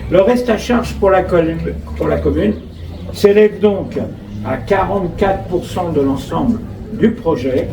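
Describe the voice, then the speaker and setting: A man with an accent from France delivers a formal inauguration speech as a local official, reading in measured phrases and adding asides. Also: sits high. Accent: French from France. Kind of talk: inauguration speech, read from notes with ad-libbed asides. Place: outdoor school forecourt, public address. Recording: roomy echo, distant mic, clear background noise.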